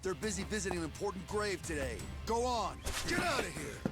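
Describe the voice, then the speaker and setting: A man playing a gruff, dismissive yakuza guard in an English-dubbed video game, curt and surly. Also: high-pitched, big pitch swings, fairly quick, loud music behind the voice.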